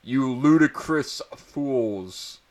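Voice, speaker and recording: A man voicing a furious old wizard headmaster in a comic story reading, with pompous, drawn-out bellowing. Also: medium pitch, animated, darkish tone, voice pushed up.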